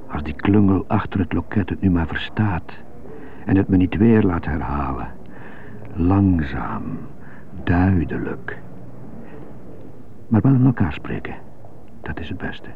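A muffled, hissy radio drama recording of a troubled man with a stutter. His voice is low and gravelly, and he broods haltingly, as if coaching himself through every hard consonant. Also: exaggerated voice. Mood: self-coaching. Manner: halting, brooding inner monologue.

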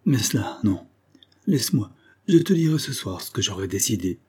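Man acting a jealous, explosive husband, husky voice. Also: on the low side, big pitch swings, normal speed, mellow. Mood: anguished. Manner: growling, stammering outbursts.

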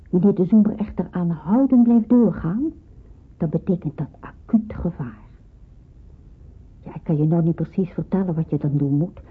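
Firm, husky safe-house matron, muffled recording. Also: female voice, sits low, heavily acted. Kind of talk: radio drama acting. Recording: muffled, narrow-band old radio recording.

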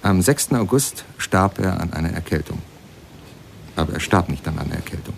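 A storyteller in a radio drama, with a very low, gravelly voice. He speaks directly to his listeners in short, deliberate sentences, with faint noise behind him. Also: animated. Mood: sober, mournful. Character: storytelling male narrator of a jazz musician's sad life. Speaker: narrator. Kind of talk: radio ballad narration.

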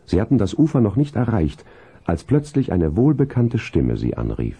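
A man playing the storyteller of a children's horse tale, with a gravelly, husky voice and scripted storybook phrasing. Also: lowish voice, huge pitch swings, normal speed, dark tone, full character voice. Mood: calm, atmospheric. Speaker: narrator.

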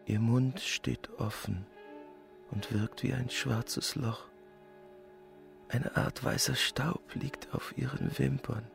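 An actor in a German radio play playing a distraught, stubborn widowed husband, with a husky, creaky voice. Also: male voice, mid-range voice, steady tone, slow pace, faint music behind. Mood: stunned sorrow.

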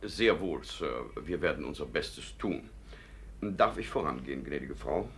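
A man's voice: very deep voice, animated, unhurried, husky, full character voice. A man playing a deferential, imperturbable English butler in a 1950s German radio play, formal and subdued.

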